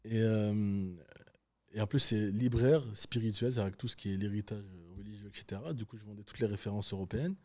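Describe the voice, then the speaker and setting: A man with a French accent, a podcast guest telling business stories in an animated, slangy, casual way. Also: fairly low pitch, a little fast. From Canada. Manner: animated storytelling, loose and slangy. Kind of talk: casual interview talk.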